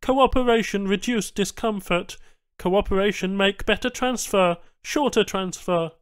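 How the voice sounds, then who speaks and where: A matter-of-fact alien technician, acted out by a male reader, pitched well up from a normal male register and very cleanly voiced. The phrases come out terse, stilted and broken, each one stated emphatically, as in a science fiction audiobook with a dry, close sound. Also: unhurried, no room sound. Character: matter-of-fact alien technician speaking halting, clipped foreign syntax.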